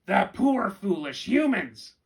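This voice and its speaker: A man voicing three mocking ravens in a spoken folktale, cawing loudly and jeering at foolish humans. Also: very animated, slow, creaky, heavily acted. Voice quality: loud shrill cawing, jeering.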